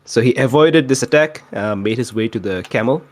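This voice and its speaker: A man's voice: medium pitch, fairly quick, dry recording. A man casually recapping a tabletop role-playing session as a player, with dry, mock-exasperated asides.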